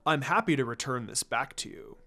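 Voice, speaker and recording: A man voicing a serious adult ninja mentor in a fan fiction reading, calm, stern and deliberate as he explains. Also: medium pitch, soft background music, voice pushed down.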